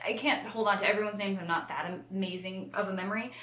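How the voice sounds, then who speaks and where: A woman's voice: medium pitch, fairly even intonation, quick pace, fairly bright tone, echoey room. A woman in casual, unscripted chat as co-host of a book show, rambling and self-deprecating, often slipping into acted-out quotes.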